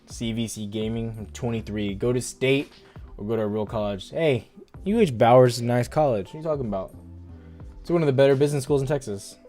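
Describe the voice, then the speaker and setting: Twenty-something guy streaming, easygoing and rambly, average pitch, soft music in the background. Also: fast talker. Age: 20s. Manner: laid-back, rambling, heavy use of "like". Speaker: stock trading livestream host. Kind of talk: casual livestream chat, reading viewer comments.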